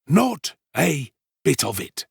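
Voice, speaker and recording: A pompous manservant from a storybook , acted out by a man, full of his own importance. His voice creaks and rasps, and he draws out each emphatic phrase in great swoops of pitch. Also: very animated, slow pace, dry recording, clean studio sound, exaggerated voice. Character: pompous, self-important male servant telling his alarming adventure. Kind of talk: dramatised storybook reading.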